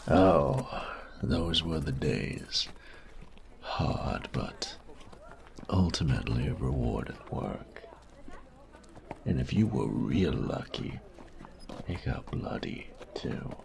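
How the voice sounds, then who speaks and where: A man playing a cocky, sadistic bandit in a roleplay audio drama, smug and teasing, with a gravelly voice that often drops to a breathy whisper and taunting, drawn-out phrasing. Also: a bass voice, animated, background noise, heavily acted. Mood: cocky, boastful. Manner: slow, taunting, drawling, savoring pauses.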